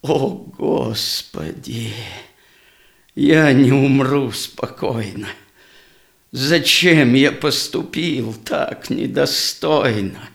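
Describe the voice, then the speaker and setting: A man acting a proud, remorseful old merchant father in a verse audio drama, with a creaky voice and theatrical delivery. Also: on the high side, a bit bright, exaggerated voice. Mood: remorseful, pleading. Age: older. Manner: slow, theatrical, lamenting rhymed delivery.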